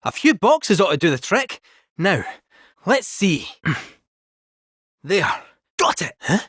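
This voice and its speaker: A man's voice: high voice, big pitch swings, slow, fairly bright tone, husky, no echo, heavily acted. A jaunty male robot cat with a broad Scottish accent, rattling off folksy quips in a raised, sing-song voice that leaps up and down. He sounds cheeky and sure of himself, like a game sidekick. The sound is dry and close, with no room around it. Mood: playful bravado. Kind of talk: video game voice acting.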